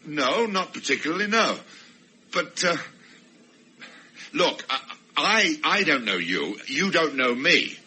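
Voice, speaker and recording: Flustered reluctant rescuer, very rough husky voice, forceful edge, hesitant, radio drama. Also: male voice, fairly high pitch, big pitch swings, takes it slowly, long pauses, exaggerated voice. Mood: pleading, awkward. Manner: stammering, hesitant, nervously pleading. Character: flustered, self-absorbed male actor, reluctant would-be rescuer. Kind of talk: radio play acting with inner narration.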